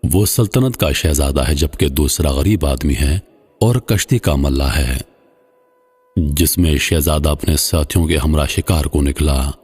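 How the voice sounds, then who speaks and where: A man narrating a scripted moral folk tale in formal literary style, with a measured, solemn delivery. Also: extremely low pitch, a little mellow, slightly rough. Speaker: storyteller, narrator. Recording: long hall-like reverb, slightly muffled voice.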